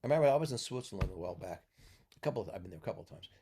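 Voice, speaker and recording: A man's voice: lowish voice, lively intonation, racing, mellow, slight background noise. A man from the US Northeast talking as a mentor in a casual podcast chat, explaining at length and checking in with "okay?" after his points. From New York, US Northeast. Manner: lecturing, tags points with "okay?".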